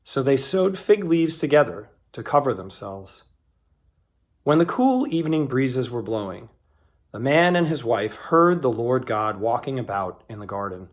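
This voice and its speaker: A man giving an expressive, scripted reading of scripture, dramatizing the quoted speech as he goes. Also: medium pitch, a little slow. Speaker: scripture reader.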